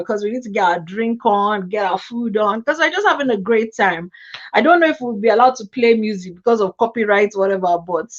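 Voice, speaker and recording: A woman hosting a casual livestream chat, animated and chatty, a little nasal from a cold. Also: mid-range voice, highs cut off. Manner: chatty, animated, laughs often. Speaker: host. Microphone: webcam.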